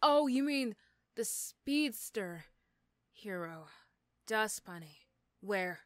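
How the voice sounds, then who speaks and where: A woman playing an irritable, sarcastic female supervillain in a roleplay audio drama, snappy and condescending but gruffly caring. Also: medium pitch, lively intonation, extremely slow, no echo, clean studio sound, voice pushed down. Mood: condescending, reassuring.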